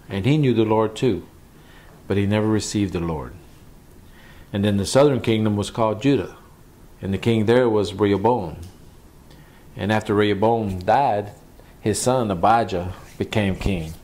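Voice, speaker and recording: Guy pastor doing a bible teaching, kinda low voice, talks it through plainly and repeats stuff. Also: normal speed, darkish tone. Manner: conversational, repeats phrases for emphasis. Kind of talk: Bible teaching sermon with scripture reading.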